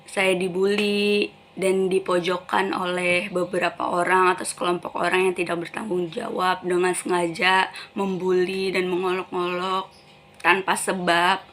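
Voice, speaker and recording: A woman in her twenties, answering an interviewer in a formal, measured way. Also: lowish voice, fairly bright tone, a little hiss or hum. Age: 20s.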